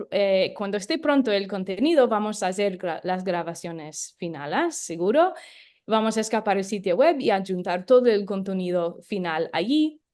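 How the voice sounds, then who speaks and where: A woman's voice: mid-range voice, a little mellow. Woman explaining a project, with fillers. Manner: explanatory, with frequent hesitation fillers. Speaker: project leader and co-presenter. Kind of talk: online talk presentation.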